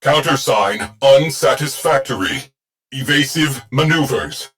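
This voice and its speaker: A man voicing a terse male ship AI in a video game, speaking in clipped, deadpan military phrases. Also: mid-range voice, unhurried, faint music behind.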